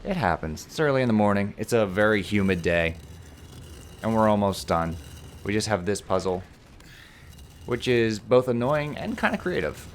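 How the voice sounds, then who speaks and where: Slightly hoarse man, very low voice, chatty let's-play commentator walking through a puzzle, faint hiss. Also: expressive, moderate pace. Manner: explains puzzles step by step, joking. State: a little hoarse. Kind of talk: casual gameplay commentary.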